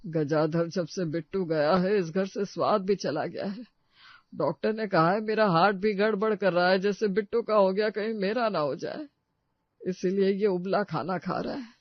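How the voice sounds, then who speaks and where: A man's voice: sits high, moderate pace, no echo, muffled recording, lightly acted. A man playing a fretful, earnest older uncle in a comic family story. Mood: gloomy.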